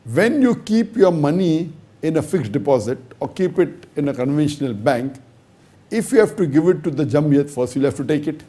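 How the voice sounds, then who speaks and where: A man's voice: a little high, lively intonation, moderate pace, mellow. A man, an Islamic scholar, answering an audience question in a lecture, reasoning step by step with emphatic stresses and rhetorical questions.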